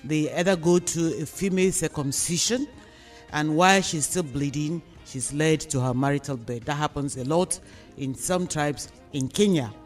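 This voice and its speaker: Male talk host, Nigerian accent, voice on the high side, deliberate and emphatic, soft music behind. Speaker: discussion host. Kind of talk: radio discussion, posing questions.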